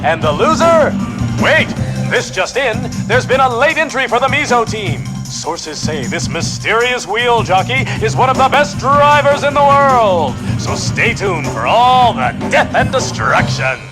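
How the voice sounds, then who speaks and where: A man's voice: very high-pitched, big pitch swings, measured pace, over music, full character voice. An actor playing a flamboyant, villainous male racing announcer in a video game, showy and dramatic. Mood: showy hype.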